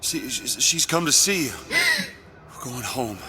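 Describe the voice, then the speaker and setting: A man's voice: mid-range voice, animated, unhurried, heavy background noise, natural voice. A male actor playing an anguished, obsessive man in a video game, pleading desperately in fragments. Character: anguished, obsessive man claiming a child as his own. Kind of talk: dramatic video game acting. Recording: loud music over the dialogue.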